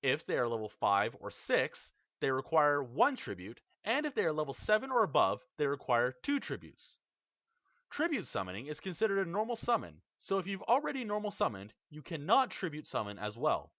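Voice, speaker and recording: Lively, bright-voiced man explaining game rules. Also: a little high, dry recording. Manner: measured, explanatory, enumerating rules step by step. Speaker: card game tutorial host. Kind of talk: scripted instructional narration. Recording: dull, slightly lo-fi dry voice-over.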